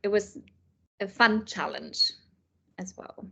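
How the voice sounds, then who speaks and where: A woman's voice: medium pitch, slow pace, vocal fry, bright, forceful tone, breathy edge. Woman chatting as a guest. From Germany. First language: German. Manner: thoughtful, many fillers and restarts. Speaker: author and illustrator guest. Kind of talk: casual interview chat.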